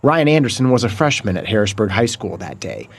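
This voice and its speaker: A man reading a scripted news report voice-over in a measured, even way. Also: fairly low pitch.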